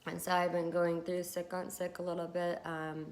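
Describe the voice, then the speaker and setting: A woman's voice: on the low side, flat delivery, moderate pace, darkish tone. A woman with a congested voice from a cold, chatting casually and encouragingly to camera about wellness, with many fillers. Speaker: beauty vlogger and hypnotherapist.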